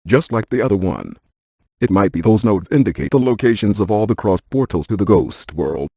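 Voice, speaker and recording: A man playing a brainy, stiff ghost-hunting scientist in a video game, speaking in a precise, clinical deadpan. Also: fairly low pitch, fairly quick, breathy edge, dry recording. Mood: deadpan, analytical.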